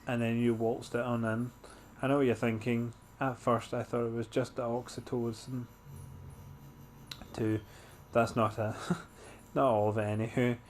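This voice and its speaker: A man's voice: mid-range voice, flat delivery, measured pace, slight background noise. A man hosting a gameplay walkthrough, commenting and reading aloud in an informal way.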